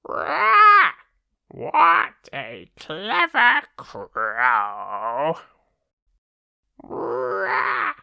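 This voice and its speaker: A man's voice: on the high side, huge pitch swings, extremely slow, gravelly, long pauses, bright, forceful tone, dry recording, clean studio sound, voice pushed up, full character voice. A man voicing a clever, self-satisfied male crow in a storybook, squawking and drawing out the words. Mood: squawking, triumphant.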